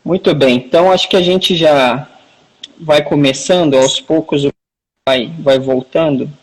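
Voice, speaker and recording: A man's voice: fairly high pitch, unhurried, rough recording. A man in his forties with a Minas Gerais accent, hosting a casual live video call from home, chatty and joking, with many restarts. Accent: Minas Gerais Portuguese. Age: 40s. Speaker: host of a live chat. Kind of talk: casual live-stream chat. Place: at home, near the wifi router.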